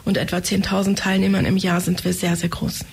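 A woman's voice: lowish voice, little pitch movement, brisk, vocal fry, slightly rough. A woman answering interview questions about her organization, confident and matter-of-fact. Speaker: head of a family education center.

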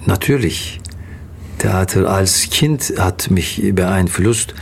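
A middle-aged man from Turkey with a Turkish accent, answering interview questions haltingly and pausing to search for words. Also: low-pitched, creaky, husky. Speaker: interview guest, theatre actor.

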